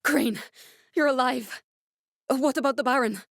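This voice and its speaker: A woman's voice: high-pitched, moderate pace, vocal fry, dry recording, clean studio sound. An actress voicing a determined, caring young woman scientist in a video game, speaking in an earnest, direct, instructive way with naturalistic dramatic delivery. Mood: surprised, urgent.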